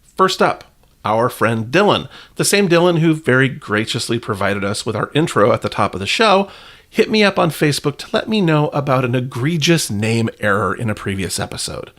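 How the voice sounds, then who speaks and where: Animated male podcast host, wry asides. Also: medium pitch, moderate pace. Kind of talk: conversational podcast narration.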